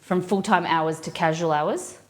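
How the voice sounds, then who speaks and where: Woman, Australian accent, slightly low voice, casual live-stream cooking host, informal, rambling, thinking aloud. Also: unhurried. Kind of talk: casual chat with viewers while cooking.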